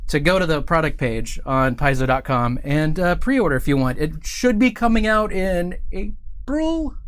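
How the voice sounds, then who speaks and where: Host, middle-aged man, slightly high voice, loose and rambling studio banter. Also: expressive, normal speed, clear, resonant tone. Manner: chatty, joking, rambling with fillers. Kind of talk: casual livestream chat. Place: studio set with couch and table.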